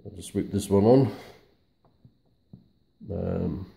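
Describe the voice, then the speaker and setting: A man's voice: deep voice, slow, creaky, heavy background noise. A man presenting a casual, unscripted product walkthrough in a hesitant, matter-of-fact way. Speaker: presenter of a radio review video.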